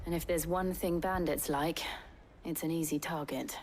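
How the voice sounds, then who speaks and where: A woman's voice: deep voice, fairly even intonation, moderate pace, background noise, natural voice. A woman playing a cool, sardonic mercenary type in a medieval fantasy game, with a dry delivery. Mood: skeptical. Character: cool, sardonic female companion posing as mercenary commander. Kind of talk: dramatic video game cutscene dialogue.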